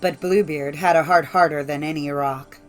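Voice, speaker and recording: A woman's voice: low-pitched, steady tone, moderate pace, faint music behind. A woman narrating a scripted fairy tale as an audiobook, in a formal storytelling manner.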